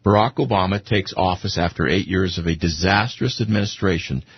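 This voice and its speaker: Very raspy American man challenging a guest. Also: low voice, a little fast, highs cut off. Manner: challenging, pointed questioning. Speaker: radio show co-host. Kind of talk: argumentative talk radio interview.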